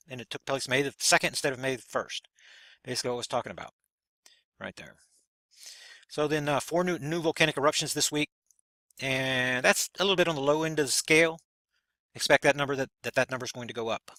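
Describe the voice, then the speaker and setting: Guy walking thru a chart, bright voice, repeats himself a lot, dry close sound. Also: medium pitch, quick pace, dry recording. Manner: rambling, repeats words, points at a chart. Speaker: video host explaining a chart. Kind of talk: casual explanatory monologue.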